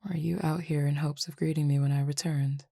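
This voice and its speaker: A woman voicing a calm cupid roommate who feeds on desire, in an audio roleplay spoken to the listener, formal, measured and quietly teasing. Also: sits low, creaky, a little hiss or hum. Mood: languid teasing.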